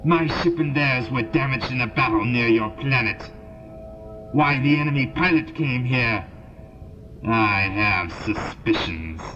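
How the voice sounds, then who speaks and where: A man's voice: mid-range voice, animated, unhurried. Man playing a fanatical, grandiose alien soldier. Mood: solemn, bitter. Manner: formal, grandiose, declamatory speech. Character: small wounded male alien soldier, fanatical and vengeful. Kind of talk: audio drama acting. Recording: dull lo-fi audio drama, loud music underneath.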